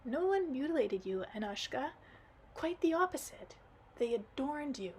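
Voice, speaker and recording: A gentle great-grandfather from a storybook speaks calmly and kindly. A woman voices him in her own fairly high register. His words come slow and soothing. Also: a little hiss or hum. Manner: calm, kindly, reassuring.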